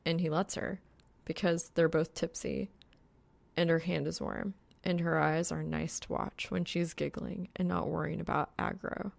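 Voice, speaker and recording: A woman's voice: low-pitched, fairly even intonation, normal speed, gravelly, no room sound. A woman narrating a scripted story as an audiobook, in a wry, informal manner.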